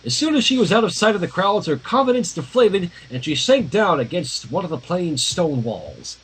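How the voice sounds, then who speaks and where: A man reading a story aloud on a livestream with expressive, dramatic narration and casual asides, in a husky voice. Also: high voice, normal speed, low-quality recording. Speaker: fan fiction reader.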